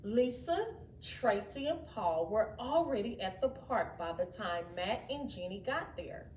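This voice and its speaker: A woman reading a children's story aloud in an expressive, deliberate way. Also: mid-range voice. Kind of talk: children's read-aloud narration. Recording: echoey room, distant mic, faint noise.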